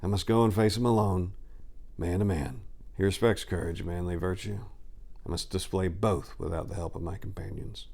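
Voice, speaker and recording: A man's voice: low voice, fairly even intonation, normal speed, creaky, a little mellow. A man playing a proud, hot-headed warrior prince. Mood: resolute. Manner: obsessive, indignant, declamatory. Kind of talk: dramatic reading of sword and sorcery.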